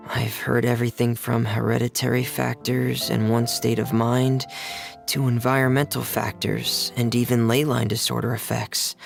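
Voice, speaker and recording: A man voicing a gentle, reserved teenage boy in a video game, hesitant, soft-spoken and careful. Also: mid-range voice, gravelly, close to an everyday voice.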